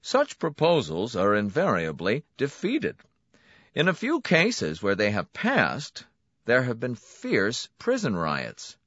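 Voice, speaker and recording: A man voicing a smooth, smiling, menacing clinic director who relishes his words. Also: mid-range voice, wildly swooping pitch, slow pace, fairly bright tone, no echo, lo-fi sound, voice pushed up, heavily acted. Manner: silky, pointed, relishing emphasis.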